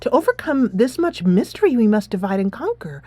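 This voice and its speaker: A woman's voice: fairly high pitch, very animated, brisk, dark tone, no room sound. A woman voicing a pompous, formal schoolgirl sleuth from a game, speaking in a grand, declamatory manner. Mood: grandly ominous.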